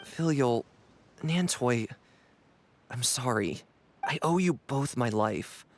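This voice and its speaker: Conflicted, apologetic young fellow. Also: male voice, medium pitch, lively intonation, slow pace, slight background noise. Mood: remorseful. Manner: hesitant, remorseful, earnest. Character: apologetic, conflicted young man bound by rules. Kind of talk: video game voice acting.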